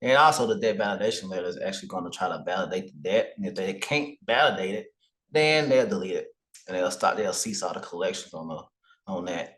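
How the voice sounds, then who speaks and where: A man with a fairly low voice, speaking as a podcast guest. He lays out his advice calmly and in a measured way, like an expert explaining the rules. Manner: calm, explanatory advice-giving. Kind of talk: casual podcast interview.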